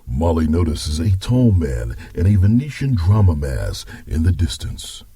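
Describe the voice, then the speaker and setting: A male narrator reads a dramatic scripted story in a low, very husky voice. He takes his time and varies his pitch widely from phrase to phrase. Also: sing-song, unhurried, mellow, no room sound.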